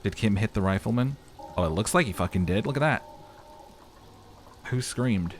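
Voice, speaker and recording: Deep-voiced man narrating a game playthrough. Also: lively intonation, moderate pace. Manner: dramatic storytelling mixed with casual, sweary asides. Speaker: let's player. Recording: faint background game noise.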